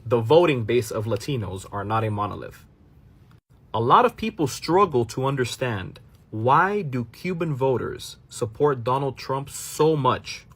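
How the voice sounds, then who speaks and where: Brief: Miami-born man, husky mid-pitched voice, reaction commentary aimed at his viewers. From Miami, United States. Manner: explanatory, talks straight to viewers, drops in Spanish phrases. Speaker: commentary channel host. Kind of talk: casual reaction commentary to a clip.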